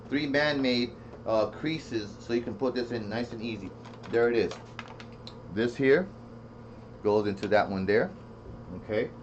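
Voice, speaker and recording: Dull lo-fi recording, rambling man demoing gear. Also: mid-range voice. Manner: rambling, thinking aloud, repeats himself. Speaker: product reviewer. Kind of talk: casual unscripted product demo. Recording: dull lo-fi sound with faint noise.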